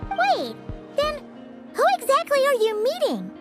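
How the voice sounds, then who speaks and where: A childlike, perky video game companion. The voice is extremely high and squeaky, animated and full of curiosity. Music plays clearly behind it. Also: female voice, measured pace, exaggerated voice. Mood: curious, surprised. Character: perky little childlike companion, inquisitive and excitable.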